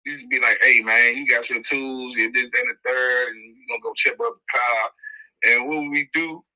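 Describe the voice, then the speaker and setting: Forceful, bright-voiced D.C. man telling stories. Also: mid-range voice, brisk, muffled recording. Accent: Washington, D.C. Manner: animated, slangy, repeats phrases for emphasis. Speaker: podcast host. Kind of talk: casual first-person storytelling.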